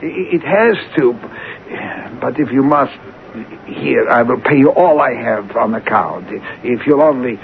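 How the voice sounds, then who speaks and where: An actor playing a timid, poor little clerk in a radio drama, hesitant, stammering and pleading meekly. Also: male voice, mid-range voice, expressive, a little slow, vocal fry. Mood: anxious, pleading. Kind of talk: 1970s radio drama acting. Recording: tinny, noisy old radio recording.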